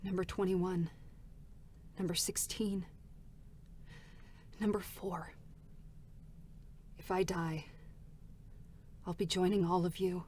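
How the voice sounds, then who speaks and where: A woman voicing a weary, battle-hardened female android deserter, speaking in a cold, flat, bitter way during a live concert reading. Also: fairly low pitch, takes it slowly, long pauses, a little hiss or hum. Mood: somber. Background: faint music.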